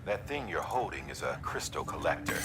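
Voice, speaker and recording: An actor playing a composed, helpful and businesslike mission advisor giving instructions in a video game. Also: male voice, extremely low pitch, sing-song, fairly quick. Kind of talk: scripted video game tutorial dialogue. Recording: loud background noise.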